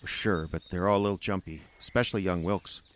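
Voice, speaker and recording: A man's voice: low-pitched, fairly even intonation, fairly quick, slight background noise, close to an everyday voice. A man acting in a radio drama as a nervous, obedient sheriff's deputy with a hesitant, deferential way of talking. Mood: deferential, uneasy.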